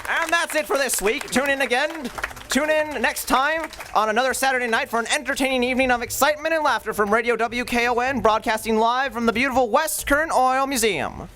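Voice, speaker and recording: A man's voice: very high-pitched, brisk, background noise. A man hosting and announcing a live old-time radio show, with theatrical delivery.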